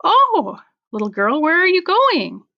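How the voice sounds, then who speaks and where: A sly storybook wolf, put on by a woman reading aloud, speaks high and with big swoops in pitch. The wolf coaxes with false sweetness, as if posing as a kindly old grandmother. The recording is a little muffled. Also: huge pitch swings, measured pace, gravelly, exaggerated voice. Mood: coaxing.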